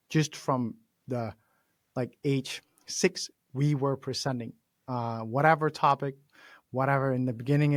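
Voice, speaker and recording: Man, Danish accent, podcast host, mid pitch, dry close sound, chatty and animated. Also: no room sound. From Denmark. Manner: chatty, animated, laughs often, frequent restarts. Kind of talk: casual interview conversation.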